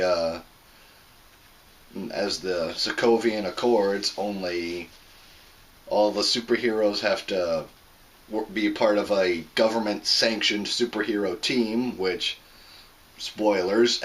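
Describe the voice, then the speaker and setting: A man hosting his own review show, hoarse from a sore throat, explaining a plot in a plain, casual way with some restarts. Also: on the low side, fairly bright tone, rough recording. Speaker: host of a movie review show. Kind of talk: casual spoken movie review.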